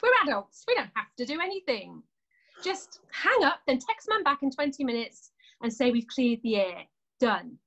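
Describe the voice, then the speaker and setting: A woman's voice: sits high, very animated, moderate pace, gravelly, fairly bright tone, highs cut off, exaggerated voice. A woman acting a blunt, sarcastic elder sister who holds a grudge, in a naturalistic drama. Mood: curt, irritated. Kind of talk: naturalistic stage drama dialogue.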